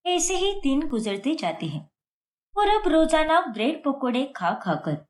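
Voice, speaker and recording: Animated, high-voiced woman storyteller. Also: measured pace. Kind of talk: scripted Hindi moral-story narration.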